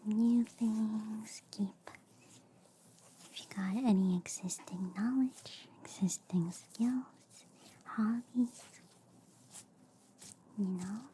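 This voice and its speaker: A woman whispering softly and breathily in a soothing, playful ASMR roleplay, close to the microphone. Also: mid-range voice, steady tone, a little hiss or hum. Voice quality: soft, breathy, mostly whispered. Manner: soothing, unhurried, playful reassurance. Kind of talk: soft ASMR roleplay as a friendly alien doctor.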